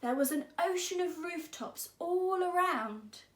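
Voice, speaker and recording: A woman teacher reading a children's story aloud, expressively and deliberately, drawing out words. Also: very high voice, animated, roomy sound.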